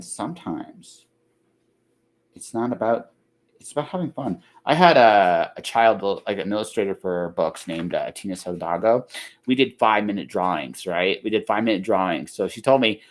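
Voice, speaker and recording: Rambling male podcast host, lowish voice. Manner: rambling, repeats and rephrases himself. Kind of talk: casual chat.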